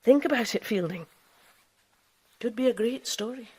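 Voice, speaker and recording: An actress playing a defiant woman who lived as a man, teasing and theatrical, dropping into breathy hushes, in radio drama style. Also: fairly high pitch, normal speed. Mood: boastful, impassioned.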